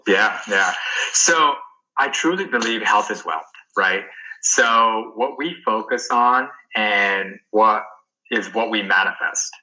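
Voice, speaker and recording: Guest: man from Sri Lanka, low voice with a very bright edge, earnest and emphatic. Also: slow pace, low-quality recording. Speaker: guest, wellness coach. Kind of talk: interview answers.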